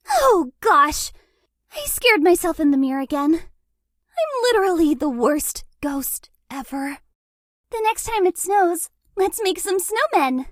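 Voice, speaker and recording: High-pitched, sweet, shy ghost girl. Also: slight background noise, full character voice. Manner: hesitant, bubbly, gushing, self-deprecating. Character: sweet, shy, apologetic young female ghost, cute and awkward. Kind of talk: cute video game character acting.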